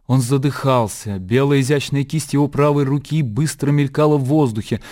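A man's voice: medium pitch, normal speed, darkish tone. A man reading scripted literary narration as the narrator of a radio drama.

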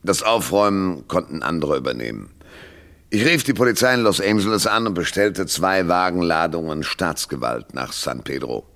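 Very deep-voiced, cynical private eye. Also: male voice, animated, moderate pace, a bit bright. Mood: weary narration. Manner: sardonic, dry, laconic. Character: hard-boiled, world-weary cynical male private detective. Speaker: lead actor and narrator. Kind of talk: 1970s German noir radio drama acting.

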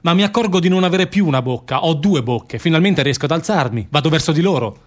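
A man acting in a radio drama as a doubting, haunted public prosecutor, speaking gravely in measured phrases. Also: a little high, expressive, close to an everyday voice. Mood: haunted, intense. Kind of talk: theatrical radio drama dialogue.

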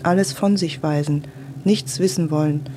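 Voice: medium pitch, moderate pace, dark tone, a little hiss or hum. A speaker delivering a reflective, literary monologue in clipped, thoughtful phrases.

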